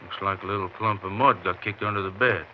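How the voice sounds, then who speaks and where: A man's voice: sits low, steady tone, fairly quick, close to an everyday voice. A man playing a terse, folksy, drawling country sheriff in a western radio drama. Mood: plain, puzzled. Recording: muffled old radio recording, faint noise.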